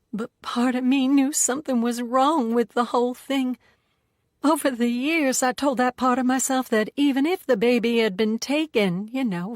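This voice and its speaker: A woman voicing a blunt, folksy Southern woman with an Alabama drawl in an audiobook, speaking plainly in rough country grammar. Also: on the high side, no room sound, clean studio sound, voice pushed up, natural voice. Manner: folksy ungrammatical speech, plain-spoken. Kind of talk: audiobook character voice.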